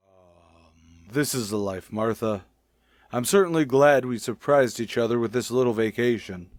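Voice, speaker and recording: An actor playing a stuffy, bossy middle-aged high school principal in a vintage radio comedy, with formal, blustering delivery. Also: male voice, normal speed, no echo, natural voice. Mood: contented.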